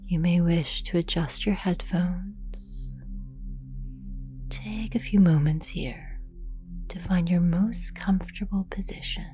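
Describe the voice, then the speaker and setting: A woman leading a guided meditation in a soft, breathy near-whisper, calm and gentle, with long unhurried pauses. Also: lowish voice, soft background music. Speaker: yoga nidra meditation guide. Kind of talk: guided meditation, slow and soothing narration.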